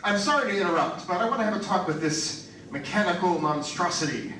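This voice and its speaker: Man playing a blustering scientist, high animated voice. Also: brisk. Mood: indignant. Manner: pompous, demanding, stammering. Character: blustering, indignant male robot scientist, authoritative boss. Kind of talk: radio drama acting. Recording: echoey, distant, faint noise.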